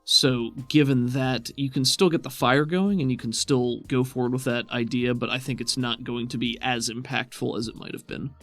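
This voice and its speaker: A man's voice: medium pitch, steady tone, normal speed, few pauses, a bit bright, soft background music. A man running a tabletop game as game master, talking casually and encouragingly to players.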